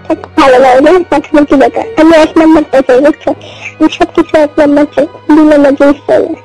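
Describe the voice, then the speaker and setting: A woman's voice: high-pitched, fairly even intonation, fast talker, warm tone. A young woman with a Bangladeshi Bengali accent chatting casually and intimately on a recorded phone call. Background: music.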